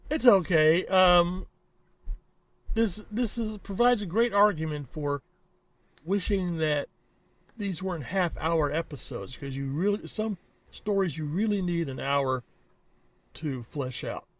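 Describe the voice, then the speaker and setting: A middle-aged or older man chats casually as a podcast co-host. His voice sits a little high, and he drops dry, wry asides as he goes. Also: slow pace, pauses often. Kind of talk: casual chat.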